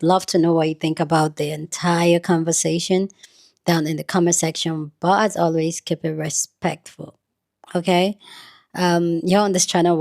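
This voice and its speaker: Earnest Nigerian woman vlogging, low voice. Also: darkish tone. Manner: rambling, earnest, many restarts and fillers. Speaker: YouTube commentator. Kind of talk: casual commentary to camera.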